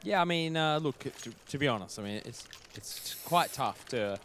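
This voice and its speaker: A man's voice: medium pitch, expressive, normal speed, fairly bright tone, a little hiss or hum. A man giving chatty color commentary, hedging his analysis with "I mean" and "look".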